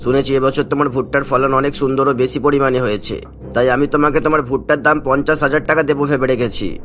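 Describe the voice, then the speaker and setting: A man's voice: medium pitch, steady tone, fast, fairly bright tone, lightly acted. A man voicing a stern, callous village landlord in a cartoon, with a scolding, commanding manner. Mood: brisk. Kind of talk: Bengali cartoon story dialogue.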